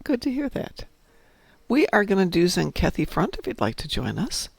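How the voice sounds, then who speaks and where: A man's voice: high-pitched, wildly swooping pitch, moderate pace, gravelly, no echo. A man streaming a space game, chatting casually with teammates and viewers while losing his voice. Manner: chatty, talks to teammates and chat while playing. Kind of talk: casual gameplay commentary.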